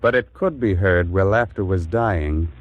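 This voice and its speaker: A man's voice: low-pitched, lo-fi sound. A man with an American accent narrating in a grave, oratorical 1940s radio drama style. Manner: grave, oratorical, measured phrasing.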